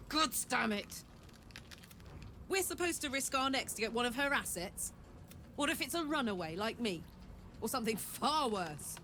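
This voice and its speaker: Hot-headed female runaway, fairly high voice, blunt, punchy, colloquial, fantasy game. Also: expressive, normal speed, pauses often, sharp tone, a little hiss or hum. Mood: exasperated, bitter. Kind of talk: video game character acting.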